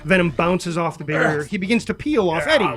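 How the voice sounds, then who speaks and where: A man's voice: sits high, expressive, fairly quick. A man narrating scripted superhero action, reading stage directions in a dramatic manner. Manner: dramatic, spoken sound effects. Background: music.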